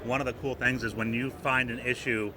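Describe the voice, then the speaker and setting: A man's voice: mid-range voice, level tone, brisk, a crowd in the background. A man co-hosting a casual interview at a trade show, chiming in with conversational commentary. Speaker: co-host. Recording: noisy busy venue background.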